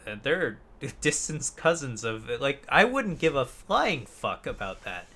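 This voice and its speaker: A man's voice: medium pitch, big pitch swings, unhurried, pushed and bright. Male podcast co-host, mid-range voice, informal and blunt, swears freely, opinionated fan chat. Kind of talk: casual chat about films and games.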